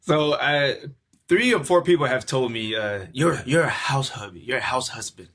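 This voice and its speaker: Man from california, middle-aged, reflective podcast guest, restarts his words, relaxed conversational tone. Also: medium pitch, normal speed. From California, USA. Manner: reflective, warm, repeats words when searching for them. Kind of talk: casual conversational interview.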